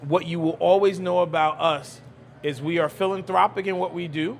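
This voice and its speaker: A man's voice: sits high, steady tone, moderate pace, creaky, slight background noise. A man talking conversationally as an interview guest, speaking emphatically and repeating phrases. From Brooklyn, New York, United States. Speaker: cigar lounge owner, podcast guest.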